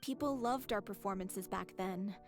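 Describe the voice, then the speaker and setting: A woman's voice: mid-range voice, moderate pace, close to an everyday voice. A woman playing a devoted, wistful theater troupe member in a video game, earnest and polite in manner. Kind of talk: video game dialogue acting. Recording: music playing behind the voice.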